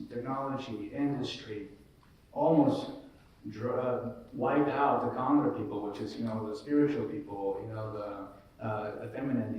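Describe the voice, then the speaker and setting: A man's voice: on the low side, a little mellow, a little hiss or hum. Man from Colombia, panelist, earnest rambling talker, far-off echoey room recording. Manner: earnest, rambling, many fillers. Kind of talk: casual panel discussion. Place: large echoey room, live event.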